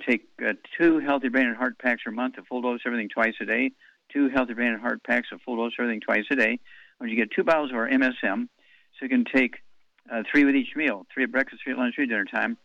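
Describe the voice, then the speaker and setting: A man's voice: mid-range voice, no echo, muffled recording. A man hosting a call-in health show on the radio, blunt and wisecracking, rattling off long lists of advice.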